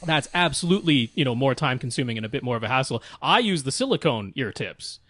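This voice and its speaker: A man's voice: medium pitch, sing-song, fast, a bit bright, dry recording. A man with a mid-range voice talks as a podcast co-host. He explains things step by step in a casual, matter-of-fact manner, recorded dry with no room sound.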